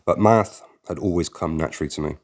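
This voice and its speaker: Man with a very deep narrating voice. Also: quick pace. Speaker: audiobook narrator. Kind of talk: scripted memoir narration.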